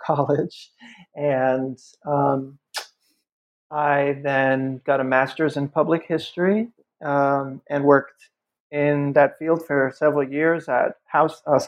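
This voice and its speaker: Gravelly-voiced academic speaking thoughtfully. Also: male voice, on the high side. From upstate New York. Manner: thoughtful, many ums and restarts. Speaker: guest author; history professor. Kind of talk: conversational podcast interview. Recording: muffled lo-fi audio.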